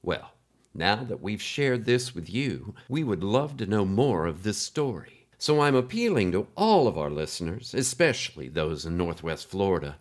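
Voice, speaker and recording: A man's voice: lowish voice, sing-song, normal speed. A man playing a folksy, heartfelt podcast host who addresses the listeners directly in a scripted drama. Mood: friendly, heartfelt. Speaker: podcast host in a fiction show.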